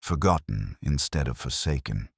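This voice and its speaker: Very deep-voiced man narrating a detective story. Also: lively intonation, moderate pace, breathy edge, no room sound, studio quality. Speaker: narrator reading a detective story in first person. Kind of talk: scripted literary audio drama narration.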